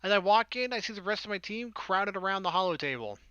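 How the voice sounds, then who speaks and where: A man's voice: high voice, lively intonation, fast talker, sharp tone, clear, resonant tone, dry recording, dull audio. A man reading a visual novel aloud as a let's player, breaking into casual, sarcastic commentary.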